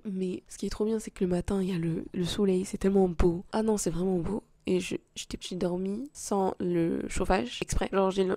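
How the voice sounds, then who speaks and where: A woman's voice: mid-range voice, gravelly, dark tone, no echo. A young woman talking in a confessional vlog, emotional and hesitant, often restarting her sentences. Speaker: vlogger. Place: small apartment, then a café.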